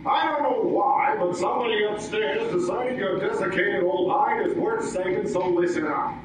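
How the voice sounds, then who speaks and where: A man's voice: sits high, moderate pace, over a noisy crowd, natural voice. A man playing a sardonic, scolding ghost in a comic old-time radio show on stage. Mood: sardonic warning. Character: wisecracking, grumpy male ghost of a dead business partner. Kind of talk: comic old-time radio acting before a live audience. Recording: echoey, noisy live stage from far off.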